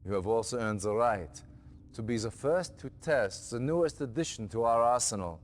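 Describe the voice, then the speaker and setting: A man's voice: mid-range voice, expressive, normal speed, long reverb tail, lightly acted. A man playing a stern allied general. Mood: commanding. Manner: commanding, clipped military briefing. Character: stern middle-aged male allied general. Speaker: allied general briefing a commander. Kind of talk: game cutscene acting.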